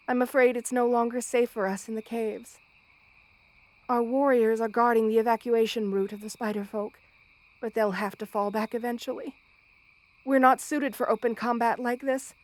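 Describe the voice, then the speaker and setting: Woman playing a high-voiced, motherly spider queen. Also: little pitch movement, normal speed, close to an everyday voice. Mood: urgent, soothing. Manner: tender, doting, softly commanding, formal wording. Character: motherly female spider queen, kind, protective, regal monster. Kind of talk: ASMR roleplay audio drama.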